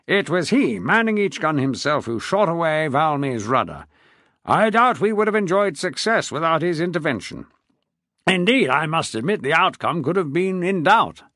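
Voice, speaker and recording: A man voicing a seasoned naval captain, brisk and knowing, a little self-flattering. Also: fairly high pitch, no echo, studio quality, pitched above the performer's own voice. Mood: formal.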